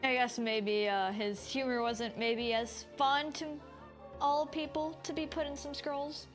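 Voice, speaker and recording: A woman streamer talks casually over a video game she is playing. Her voice is fairly high, with faint game music behind it. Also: flat delivery, measured pace. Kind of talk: casual gameplay commentary.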